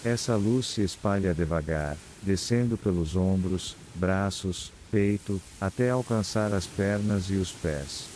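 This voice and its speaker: Deep, mellow Brazilian man guiding meditation. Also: a little hiss or hum. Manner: calm, soothing, unhurried with long pauses. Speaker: meditation guide. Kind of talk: scripted guided meditation. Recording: clear background ambience of ocean waves. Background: sea waves ambience.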